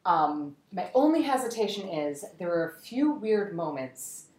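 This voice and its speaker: A woman's voice: fairly low pitch, very animated, measured pace, a little mellow. A young woman, a podcast guest, chatting casually and telling a story, acting out the texts she quotes. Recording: roomy room echo.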